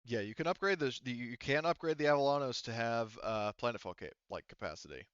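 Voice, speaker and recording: A man working as game master, explaining tabletop rules casually in a wordy, halting way that often doubles back on itself. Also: mid-range voice, expressive, a bit bright, smooth, no echo, lo-fi sound. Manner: halting, self-interrupting, wordy.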